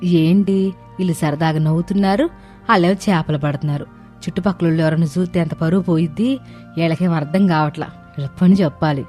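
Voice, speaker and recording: A woman voicing a scolding older mother-in-law in an animated story, exasperated and colloquial. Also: lowish voice, fast, soft background music, lightly acted. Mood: annoyed. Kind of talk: animated moral story dialogue.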